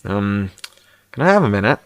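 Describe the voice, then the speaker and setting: A man's voice: lowish voice, sing-song, moderate pace, clear, resonant tone, no room sound. Man reading as a groggy, hungover party guest. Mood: groggy, pleading. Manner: groaning, hesitant, plaintive. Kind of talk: audiobook character dialogue.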